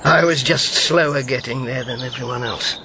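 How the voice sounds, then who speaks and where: A man's voice: medium pitch, normal speed, noisy background, natural voice. An actor in a radio drama playing an earnest old man, a former wartime codemaster full of regret and self-doubt. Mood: wistful, regretful. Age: 70s.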